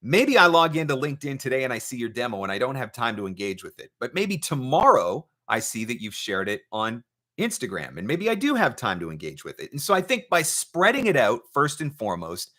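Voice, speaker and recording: A man with a bright, forceful voice of middling pitch hosts a live advice session. He talks conversationally as a coach would, explaining step by step and stressing his main points by repeating them. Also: sing-song, fairly quick. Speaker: host, voice-over marketing coach.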